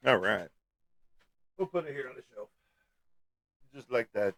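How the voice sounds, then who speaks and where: A man's voice: mid-range voice, very animated, a little slow, fairly bright tone, no echo. A man with a slightly stuffy nose, chatting casually and playfully to viewers as a livestream host. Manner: playful, teasing, peppered with sung sound effects.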